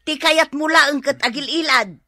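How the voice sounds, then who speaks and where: A woman's voice: high voice, moderate pace, pushed and bright, dry recording, clean studio sound. An actress playing an exasperated, scolding mother in an Ilocano comedy radio drama, with an Ilocano accent. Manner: nagging. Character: scolding mother, exasperated with lazy grown man.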